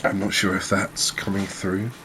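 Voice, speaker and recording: A soft-spoken man narrates a game in a relaxed ASMR style. His voice is deep and stays very even, with a hesitant, understated, musing delivery. A faint ambient noise sits behind him. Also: normal speed. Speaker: gaming video creator.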